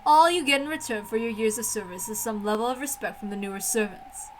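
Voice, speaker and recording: A woman's voice: on the high side, quick pace, slight background noise, lightly acted. A woman voicing a mysterious, condescending witch with theatrical, formal speech for a visual novel reading. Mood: mocking pity. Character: mysterious golden butterfly witch, condescending, welcoming.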